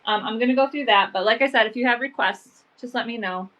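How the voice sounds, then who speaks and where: A woman's voice: on the high side, fast talker, fairly bright tone, highs cut off. Chatty, sniffly woman selling vintage. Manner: chatty, lots of fillers like "um" and "like". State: sniffly from allergies. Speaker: online vintage seller. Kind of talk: casual live-sale chat.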